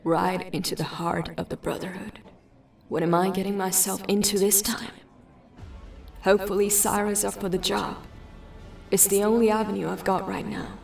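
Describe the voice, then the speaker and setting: Woman playing a gravelly, determined detective. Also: mid-range voice, flat delivery, moderate pace, darkish tone, faint music behind, lightly acted. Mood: resolved, musing. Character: determined, dry-witted female police detective. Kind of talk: sci-fi audio drama acting.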